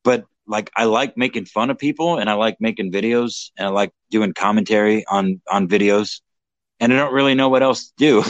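A man's voice: fairly low pitch, no room sound. A young man in casual livestream chat, slightly drunk, rambling, swearing and mocking himself. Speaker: livestream co-host.

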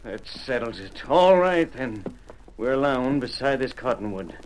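Plain folksy cowboy on old radio western, average pitch, slight hiss. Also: male voice, sing-song, measured pace. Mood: exasperated, proud. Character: easygoing, plainspoken cowboy, slow to fight but proud. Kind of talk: 1940s-50s radio western acting.

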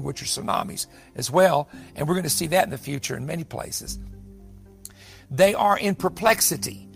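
Man, sixties, high voice, Bible teacher, emphatic sermon delivery, music playing underneath. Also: vocal fry. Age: 60s. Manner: emphatic, repeats phrases for weight.

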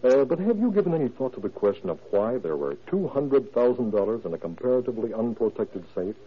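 An actor playing an evasive, indignant gentleman under suspicion in a classic radio drama. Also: medium pitch, sing-song, fast talker, slightly rough. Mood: cool, evasive. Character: smooth-talking, evasive, indignant gentleman suspect. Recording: muffled, tinny old radio broadcast.

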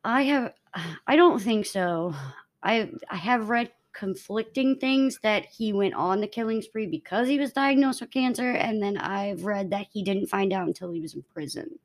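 Young woman podcast co-host, mid-pitch, narrating a true-crime case from notes, a few restarts. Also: moderate pace.

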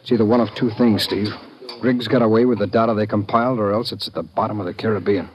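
An actor playing a matter-of-fact undercover agent in an old-time radio drama, casual and conspiratorial. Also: male voice, lowish voice, fairly even intonation, fast talker, darkish tone, lightly acted, poor audio. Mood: confiding, serious. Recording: old radio recording with crowd murmur. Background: crowd murmur.

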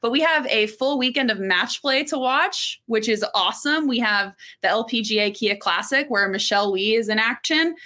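A woman's voice: a little high, moderate pace, hardly any pauses, sharp tone. A young woman from Texas hosting a solo podcast, chatty and playful, with lots of fillers. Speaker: podcast host. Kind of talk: solo casual podcast chat.

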